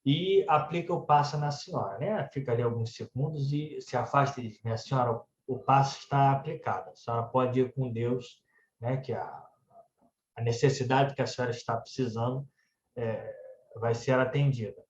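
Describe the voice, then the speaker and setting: A man's voice: medium pitch, moderate pace. A man with a Brazilian Portuguese accent giving a religious talk as conversational anecdotes, often tagging his phrases with little check-in words. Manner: conversational storytelling, frequently adding "né" tags. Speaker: speaker at a spiritist centre. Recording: echoey room, dull muffled sound.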